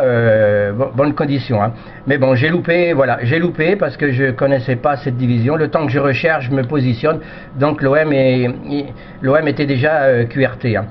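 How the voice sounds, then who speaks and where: A man chatting casually over amateur radio in a polite, hesitant, rambling way, often repeating himself. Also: medium pitch. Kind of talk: casual radio contact chat. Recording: dull lo-fi radio audio with noise.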